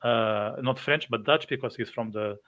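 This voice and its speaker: A man's voice: medium pitch, normal speed, a bit bright, lo-fi sound. A man hosting a podcast interview, speaking hesitantly with frequent fillers and restarts. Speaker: podcast host. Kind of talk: casual interview.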